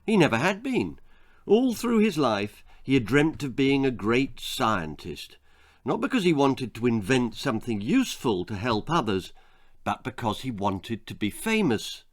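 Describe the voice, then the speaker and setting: Male storyteller for grisly children's tales: theatrical, very unhurried, highly expressive intonation. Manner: theatrical, deliberate. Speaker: author and storyteller. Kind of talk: dramatic children's story reading.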